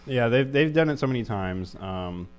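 A man's voice: medium pitch, animated, moderate pace. A man co-hosting a podcast in casual chat, hesitant with many fillers and restarts. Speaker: podcast co-host. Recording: faint mains hum.

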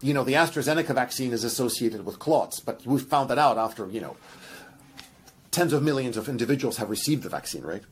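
A man's voice: medium pitch, a little fast, slight background noise. A middle-aged man with a Russian accent, explaining animatedly as a guest scientist in a podcast conversation. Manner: animated, explains with examples and asides.

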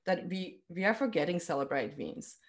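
Encouraging female interviewer, slightly low-pitched. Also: expressive, moderate pace. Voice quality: non-native English phrasing. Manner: encouraging, affirming. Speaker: interviewer, host. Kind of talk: casual conversational interview.

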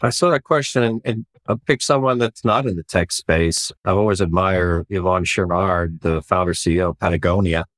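A man, a startup founder answering interview questions in a relaxed, reflective way with many "you know" fillers. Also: low voice, moderate pace, hardly any pauses, no echo. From Sweden.

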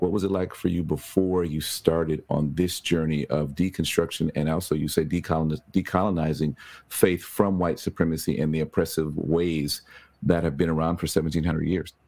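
A man with a very low voice hosts a casual interview. He talks in a relaxed, chatty way and leans on fillers like "you know" and "like". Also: vocal fry. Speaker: podcast host.

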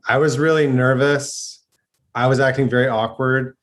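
A young man speaking as a podcast guest, hesitant and halting, with many restarts as he apologizes. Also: mid-range voice, fairly even intonation. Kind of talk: apologetic conversation.

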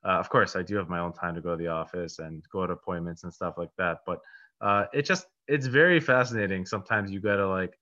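A man's voice: low voice, quick pace, bright, forceful tone. A Polish-born man in his thirties, a real estate agent and podcast host, answering interview questions in a rambling, casual way with many fillers and restarts. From Poland. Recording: dull lo-fi audio.

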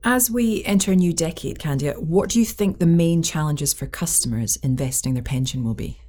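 A woman's voice: low-pitched, moderate pace, mellow. A woman hosting a podcast interview, reading friendly, upbeat scripted questions. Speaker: podcast host.

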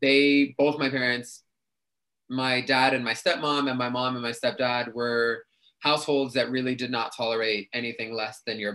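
A talkative young man, speaking at an ordinary middle pitch. He chats loosely as an interview guest, piling on fillers and quick asides. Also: sharp tone. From New York City. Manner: talkative, chatty with frequent fillers. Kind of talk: casual interview chat.

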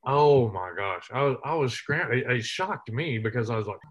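A man's voice: medium pitch, animated, normal speed, lo-fi sound. A man from West Texas, telling humorous stories as an interview guest. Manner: lighthearted, humorous storyteller. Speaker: interview guest, military veteran. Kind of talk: storytelling in casual interview.

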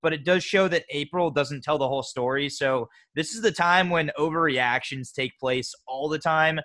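Bright-voiced chatty male co-host. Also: fairly high pitch, normal speed. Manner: chatty, rambling, many fillers and restarts. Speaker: podcast co-host. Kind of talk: casual sports podcast chat.

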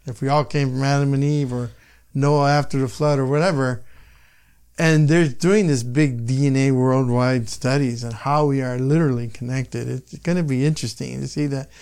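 An older man from Hawaii with a Hawaiian accent, a podcast guest talking in a slow, musing way that trails off into soft asides. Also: mid-range voice, steady tone, clean tone. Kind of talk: casual interview chat.

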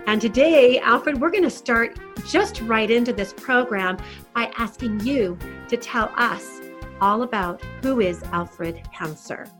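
A woman's voice: on the high side, unhurried, a bit bright, faint music behind. A woman, a life coach, hosting her talk show with an enthusiastic, effusive way of speaking. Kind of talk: hosting an interview.

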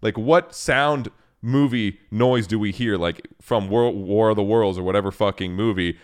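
A man with a very low talking voice, hosting a casual chat and swearing often. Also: moderate pace. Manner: swears often, animated and laughing. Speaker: podcast host.

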